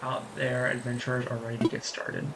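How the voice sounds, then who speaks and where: A streamer with a raspy voice reading the lines of a small raccoon boy guide in a game, politely. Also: medium pitch, completely flat delivery, a little fast, heavy background noise, natural voice. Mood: polite eager. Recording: loud game music behind.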